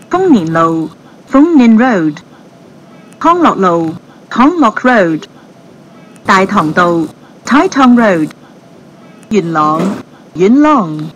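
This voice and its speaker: Female transit announcer, highish voice, formal clipped phrases, muffled recording with faint noise. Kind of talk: scripted bilingual station announcements.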